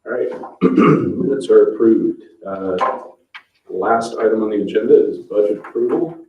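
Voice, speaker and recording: Very deep-voiced man chairing a meeting. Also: expressive, a little slow. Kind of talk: informal chairing of a town board meeting. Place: indoor public meeting room. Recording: muffled, echoey meeting room, distant mic.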